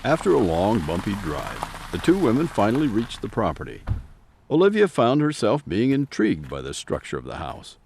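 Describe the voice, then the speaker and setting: A male narrator with a fairly deep voice and very lively intonation. He reads a scripted story for an audio drama. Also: normal speed, creaky, a little hiss or hum.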